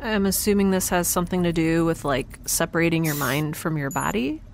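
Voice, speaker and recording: Young space girl, kinda low voice, awkward n chatty, audio drama, bit of noise. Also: fairly quick, vocal fry. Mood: calm, inquiring. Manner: casual, hesitant. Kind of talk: naturalistic audio drama acting. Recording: faint background noise.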